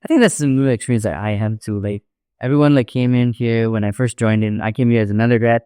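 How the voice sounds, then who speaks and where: A young Malaysian host talks in a chatty, casual podcast style with lots of filler "like". The voice sits at a middling pitch and is recorded close and dry. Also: steady tone, quick pace, dark tone, clean tone, no room sound. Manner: chatty, frequent 'like'. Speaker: podcast host and international student.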